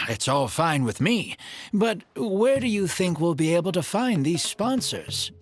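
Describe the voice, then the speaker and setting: A man voicing an elderly, earnest desert dweller in a video game, speaking in a measured, gentle way over loud game music. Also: high voice, sing-song, full character voice. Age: older.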